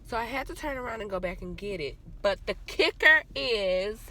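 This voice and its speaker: A woman's voice: medium pitch, animated, normal speed, bright, forceful tone. A woman vlogging casually, chatty and rambling, and nasal and stuffy from a cold. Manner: chatty, rambling, uses slang. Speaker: vlogger and soldier. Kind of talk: casual vlog chat.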